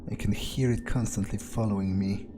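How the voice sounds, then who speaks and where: A man narrating a video game playthrough in character, thinking aloud in a musing, halting way. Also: on the low side, steady tone, music in the background.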